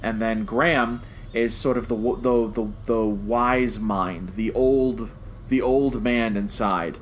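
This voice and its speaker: A man with a mid-pitched voice talks as a podcast co-host reviewing television. He explains in an emphatic, conversational way, repeating phrases to press a point, with noticeable noise behind him. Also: steady tone, slow. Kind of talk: casual chat, review discussion.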